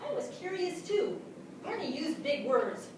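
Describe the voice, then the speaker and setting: A woman in a staged reading narrating as a naive, earnest dog, with expressive comic timing. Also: extremely high pitch, unhurried, heavily acted. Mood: earnest, droll. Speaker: narrator in reader's theatre. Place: small theatre stage before an audience. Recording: very echoey theatre, distant, noisy.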